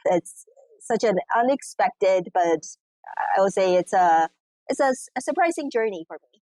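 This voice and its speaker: A woman's voice: medium pitch, lively intonation, normal speed, mellow, no echo, low-quality recording. A woman in her thirties from Taiwan, answering interview questions over a call in a casual way, with many "you know, like" fillers. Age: 30s. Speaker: guest entrepreneur. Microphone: remote call recording.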